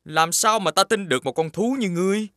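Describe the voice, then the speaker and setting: A man voicing a confident, suspicious young prince in an animated fairy tale. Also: high voice, fairly quick, dry recording, clean studio sound.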